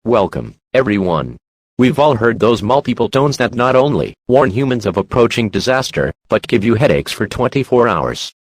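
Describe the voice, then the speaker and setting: A synthetic male voice playing a talk show host in a scripted tabloid parody, with a measured presenter delivery. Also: medium pitch, big pitch swings, slightly rough, dry recording. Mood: presenting. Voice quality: synthetic text-to-speech voice.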